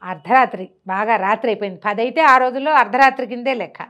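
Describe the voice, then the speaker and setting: A woman's voice: fairly high pitch, quick pace, highs cut off. A woman telling a traditional tale aloud in a conversational way, posing rhetorical questions and acting out the dialogue as she goes. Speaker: storyteller. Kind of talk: animated oral storytelling of a traditional tale.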